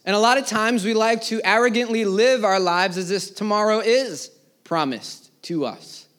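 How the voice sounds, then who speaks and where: A pastor in his thirties delivers a sermon to his congregation in a high, light voice. He leans into points with rising emphasis, then lets the ends of phrases fall soft and low. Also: normal speed. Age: 30s. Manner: preaching with swelling emphasis that sinks to quiet phrase endings. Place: church service before a live congregation.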